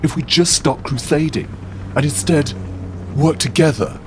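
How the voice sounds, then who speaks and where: A man's voice: on the high side, measured pace. A man doing a preachy indignant warlord demigod. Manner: preachy, indignant, lectures earnestly. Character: self-righteous male demigod warlord turned activist. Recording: steady background noise.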